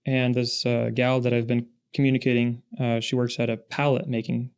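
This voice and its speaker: A man in his thirties who was born in Russia and raised in the Pacific Northwest, a podcast guest telling stories in a measured, steady way. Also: mid-range voice, clear, resonant tone. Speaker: podcast guest, industry founder. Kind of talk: casual interview chat.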